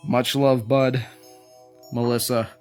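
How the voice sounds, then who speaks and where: A man's voice: medium pitch, little pitch movement, slow pace, darkish tone, faint music behind. Sentimental man in a casual vlog. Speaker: YouTube video creator. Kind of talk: casual show-and-tell vlog, partly reading aloud.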